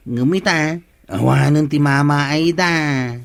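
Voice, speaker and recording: Higher-voiced earnest Ilocano villager man. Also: takes it slowly, vocal fry, no room sound. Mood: chatty. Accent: Ilocano Filipino. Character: aggrieved earnest goat-owning villager, respectful nephew. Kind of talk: Ilocano radio comedy drama acting.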